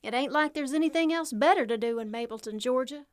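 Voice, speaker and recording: A woman's voice: high voice, fast talker, faint music behind, close to an everyday voice. A woman playing a brash, foul-mouthed single mom with a Southern US accent, sassy and exasperated, in an audio drama. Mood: wry, fed up. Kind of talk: audio drama acting with narration.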